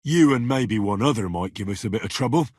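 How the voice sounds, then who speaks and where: Casting: young man as an earthy, hot-headed medieval game hero; husky voice, very dry close sound. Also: fairly low pitch, lively intonation, fairly quick, a little mellow, no room sound. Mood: angry, challenging. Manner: plain-spoken, earthy, blunt. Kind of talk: video game character voice lines.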